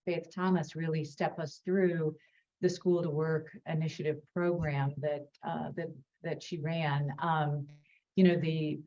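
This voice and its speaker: A woman's voice: deep voice, level tone, unhurried, mellow, lo-fi sound. A woman moderating a session, introducing the next speaker in a friendly, loose way.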